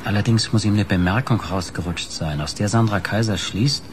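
An actor playing a wry, inquisitive investigative reporter with a teasing, probing manner in a German radio crime drama. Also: male voice, on the low side, moderate pace, noisy background, close to an everyday voice. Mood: explaining.

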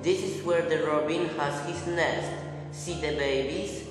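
A performer playing a spoiled, sickly young boy in a puppet show, with plaintive, deliberate delivery. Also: mid-range voice, natural voice. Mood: proud, hopeful. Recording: echoey hall, distant mic, background music.

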